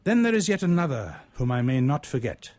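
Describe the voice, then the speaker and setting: A man speaks as a radio host and poet in a very dry recording with little room sound. His pitch sits in the middle, and his delivery is that of a storyteller sharing spoken word. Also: sing-song, a little fast, darkish tone, no echo. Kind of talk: conversational storytelling and poetry recitation.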